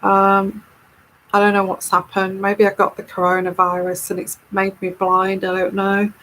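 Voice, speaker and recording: A woman with a calming, soothing voice, hosting a live tarot stream and chatting casually as she reads viewer comments. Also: mid-range voice, a little hiss or hum, low-quality recording. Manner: unhurried, chatty and rambling.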